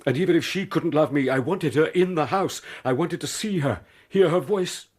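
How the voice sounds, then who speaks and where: A man's voice: on the high side, moderate pace, a little mellow, breathy edge. An actor playing a hot-headed, lovesick man who speaks passionately and vehemently in a radio drama. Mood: earnest. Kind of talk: BBC radio drama acting.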